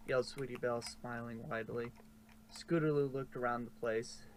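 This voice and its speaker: A man's voice: mid-range voice, fairly even intonation, normal speed, crowd noise. A man narrating fan fiction as an amateur story reader.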